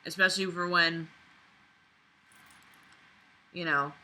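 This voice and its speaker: A woman's voice: lowish voice, fairly even intonation, takes it slowly, bright, forceful tone. A woman reading a book aloud and breaking in with sarcastic, indignant, sweary commentary. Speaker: vlogger reading and critiquing a novel.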